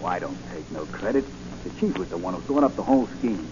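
A gloating, uneducated gangster henchman in a 1940s radio drama. His voice sits low and full of street slang. The recording is muffled and clearly noisy. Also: lively intonation, fast.

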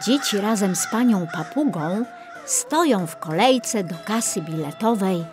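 A woman's voice: mid-range voice, animated, a little slow, music in the background. A woman narrating a scripted children's story.

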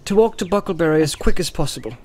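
A man voicing a thoughtful, burdened gentleman hobbit who speaks seriously and is easily irritated, in a storybook reading. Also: on the high side, expressive, quick pace, slight background noise, voice pushed up, close to an everyday voice. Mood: guarded.

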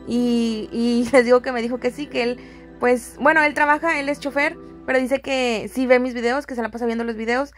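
A woman's voice: a little high, steady tone, normal speed, faint music behind. A woman with a Mexican accent giving a casual, chatty, friendly voice-over as a home decor vlogger.

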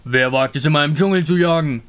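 A man's voice: fairly high pitch, quick pace, fairly bright tone, close to an everyday voice. Game role: menacing feline predator played by a male reader, boastful, challenging, master of the jungle. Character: proud, menacing big-cat hunter of the jungle. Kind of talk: fan voice-over of a game story.

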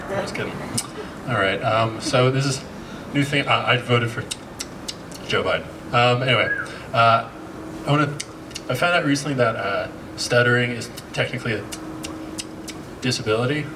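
A man in his thirties with an American accent, doing a stand-up set with a halting, deadpan, self-deprecating delivery full of fillers. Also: mid-range voice, background noise. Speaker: stand-up comedian. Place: outdoor patio stage at night. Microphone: handheld mic. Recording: distant camera mic with loud crowd laughter. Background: audience laughter.

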